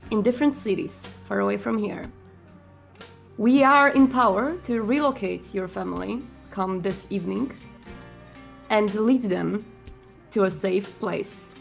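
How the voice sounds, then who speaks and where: A composed vampire prince, acted by a woman in a tabletop game, speaking in measured, formal phrases over faint music. He is persuasive and deliberate, every clause set down firmly. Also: medium pitch, gravelly.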